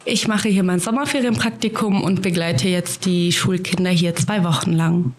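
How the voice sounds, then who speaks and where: An eighteen-year-old woman, a trainee educator, introducing herself calmly. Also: on the low side, normal speed. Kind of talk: interview self-introduction.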